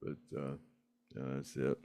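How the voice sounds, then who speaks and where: Deep slow-talking older man. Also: completely flat delivery, gravelly, mellow. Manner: rambling, laughs often, many fillers and restarts. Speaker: podcast host reading live chat. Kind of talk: casual chat.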